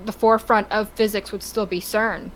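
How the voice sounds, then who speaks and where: A woman co-hosting a podcast, talking casually and with opinions in a halting, stop-start way as she searches for words. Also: medium pitch, level tone, a little hiss or hum, rough recording. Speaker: podcast co-host.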